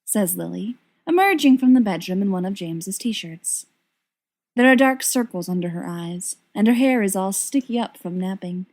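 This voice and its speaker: A woman's voice: medium pitch, expressive, normal speed, a little mellow. A woman narrating fiction expressively, as a volunteer audiobook reader.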